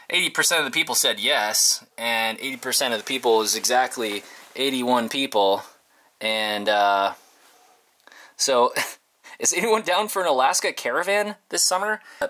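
A man in his thirties vlogging casually, informal and halting as he thinks aloud to his viewers. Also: medium pitch, bright, forceful tone. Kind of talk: casual, rambling vlog chat to viewers. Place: inside a parked converted school bus.

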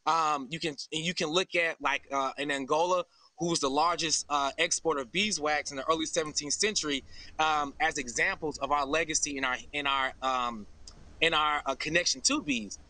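A man's voice: a little high, fairly even intonation, normal speed, pushed and bright, no room sound. An American man in his thirties giving an earnest, informal explanation in a live interview over a video call. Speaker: farm founder and interview guest. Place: outdoors under an open farm shelter.

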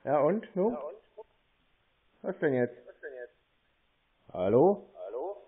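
A man chatting casually on a phone call, stubborn, deadpan and slow to cooperate. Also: on the high side, husky. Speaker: prank call host, scambaiter. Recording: thin, narrow telephone line.

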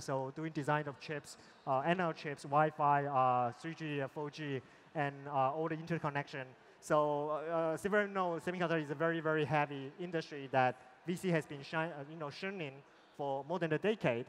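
A middle-aged man, an investor, moderating a fireside chat on a large conference stage in a relaxed way with many fillers, through a headset mic. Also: a little high. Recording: long hall reverb, faint ambience.

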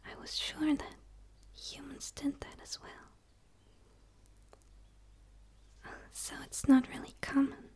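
Woman acting as a curious female alien, high-pitched whisper, soft, pleading, ASMR roleplay. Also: little pitch movement, natural voice. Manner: slow, soft, pleading and tentative. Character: gentle, curious female dark alien eager for human friendship.

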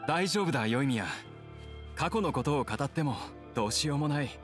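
Man as a gentle young swordsman, lightly pitched. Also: animated, moderate pace, soft background music, close to an everyday voice. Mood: resigned. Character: gentle, wistful young swordsman returned from exile. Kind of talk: Japanese anime game acting.

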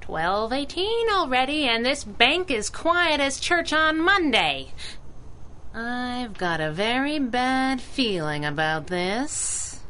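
A woman's voice: fairly high pitch, sing-song, slow pace, noisy background. A woman playing a plucky, wisecracking masked crimefighter in a 1930s-style radio drama, with sassy pulp patter. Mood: restless, self-chiding.

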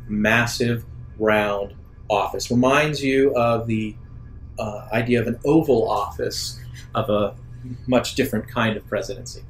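A man narrating an improvised sci-fi tabletop roleplaying game as game master, describing scenes in a halting, deliberate way. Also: fairly low pitch, soft background music.

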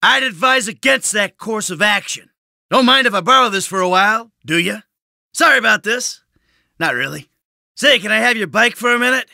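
A man's voice: sits high, expressive, normal speed, creaky, pushed and bright, dry recording, studio quality, heavily acted. A man playing a cynical, short-tempered middle-aged American criminal in a video game, with a blunt, sardonic tough-guy delivery. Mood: dry, menacing.

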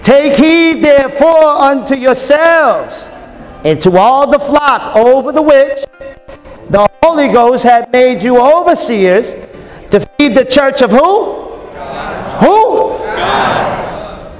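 Animated high-voiced preacher in a hall. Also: male voice, slow, pauses often, soft background music. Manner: animated, joking, call-and-response with the crowd. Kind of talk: lively conference sermon. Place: large hotel ballroom with a live audience. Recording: long hall reverb, faint crowd.

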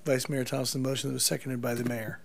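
A man's voice: medium pitch, flat delivery, fast talker, gravelly. A man clarifying procedure off the cuff as an official at a council meeting, over a noisy chamber microphone.

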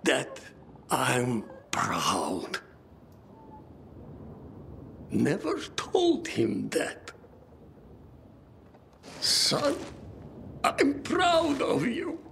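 Casting: proud old wounded game character, weak and halting, gravelly creak, strained sharp edge. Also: male voice, a little high, wildly swooping pitch, slight background noise, exaggerated voice. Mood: tearful, pleading. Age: older. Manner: halting, stammering speech broken by pauses. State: weak, breathless, coughing, in pain. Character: wounded old man, proud father, dying and stubborn. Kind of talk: dramatic video game acting.

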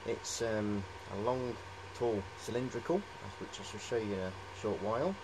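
Middle-aged male, slightly low voice, informal hobbyist demo with halting, wandering delivery, light workshop noise underneath. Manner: rambling, hesitant, repeats "of course". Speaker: hobbyist presenter. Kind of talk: casual show-and-tell vlog. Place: cluttered indoor workshop.

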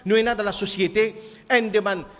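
High-pitched Mauritian preacher in a reverberant hall. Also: male voice, fairly even intonation, normal speed, a bit bright. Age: middle-aged. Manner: emphatic, repeating key phrases. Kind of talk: sermon. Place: indoor mosque hall. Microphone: microphone on a stand. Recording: echoey hall with long reverb.

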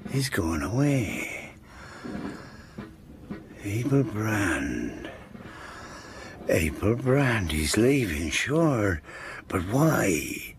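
An actor playing a loyal, uneasy sailor and war veteran in a radio drama, earnest and plain-spoken. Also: male voice, on the low side, sing-song, extremely slow, long pauses, heavily acted. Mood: musing, awed. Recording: noisy old-time radio broadcast.

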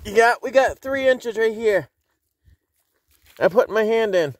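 Man, fairly high voice, casual joking chat, outdoors on a frozen lake, phone mic, faint outdoor noise. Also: lively intonation, slow. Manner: joking, laughs often, teases friends. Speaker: vlogger filming an ice fishing outing. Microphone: handheld phone camera.

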